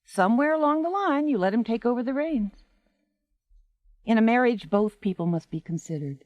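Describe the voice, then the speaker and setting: An actress in a 1980s radio drama playing a seasoned stage actress, a frank and supportive friend with an emphatic manner. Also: female voice, fairly high pitch, lively intonation, moderate pace, no echo, lo-fi sound, lightly acted. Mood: earnest, firm.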